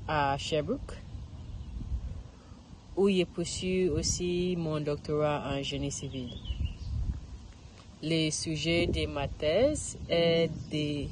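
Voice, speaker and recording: Slow, halting woman outdoors. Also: low-pitched, a little mellow, slight background noise, rough recording. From Ghana. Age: 30s. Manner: halting, searching for words, frequent restarts. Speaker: vlogger learning French. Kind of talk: casual self-filmed practice monologue. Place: outdoors in a park.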